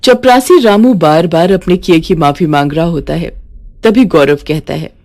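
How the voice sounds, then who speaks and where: A woman's voice: on the low side, normal speed, darkish tone. A woman reading a scripted moral story as a storyteller.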